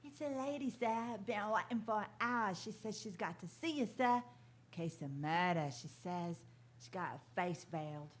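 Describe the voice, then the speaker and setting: A woman's voice: medium pitch, lively intonation, normal speed, natural voice. A woman playing an eager young working-class errand boy in a radio drama, urgent and deferential. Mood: eager, confiding. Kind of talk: theatrical radio drama acting.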